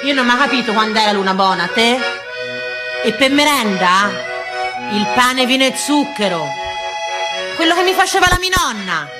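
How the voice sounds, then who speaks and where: An actress performing an earthy, stubborn yet tender Tuscan countrywoman in an expressive, colloquial stage monologue. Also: fairly high pitch, moderate pace, long pauses, over music, natural voice. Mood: fond, nostalgic. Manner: colloquial, expressive, addressing a neighbour with rhetorical questions. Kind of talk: theatrical monologue, rustic storytelling.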